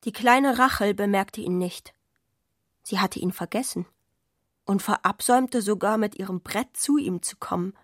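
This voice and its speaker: Medium-pitched woman narrating, very dry sound. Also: moderate pace, fairly bright tone, no echo. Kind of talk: literary narration in a radio play.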